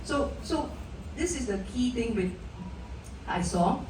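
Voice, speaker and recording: A woman's voice: on the high side, lively intonation, slow. A middle-aged woman from Malaysia, a guest speaker telling her personal story at a live event, earnest, with many restarts. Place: event hall. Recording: distant echoey hall mic, noisy.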